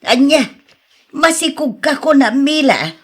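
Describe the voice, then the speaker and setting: A woman with an Ilocano accent playing an older, fretting mother with a husky voice in a radio drama. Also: high-pitched, sing-song, unhurried. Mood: worried, chiding. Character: older, concerned, fretting mother of a grown daughter.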